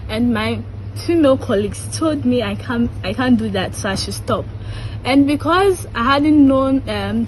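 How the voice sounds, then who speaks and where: A woman's voice: a little high, a little hiss or hum, low-quality recording. A young woman giving an earnest personal testimony and urging other young women to speak up. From Ghana. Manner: earnest, speeds up when recalling the past.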